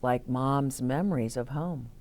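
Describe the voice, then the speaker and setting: A woman reading picture books aloud to children as a storytime host, in a deliberate, explaining way. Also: rumbling low, a little mellow, a little hiss or hum. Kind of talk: expressive read-aloud for children with asides to listeners.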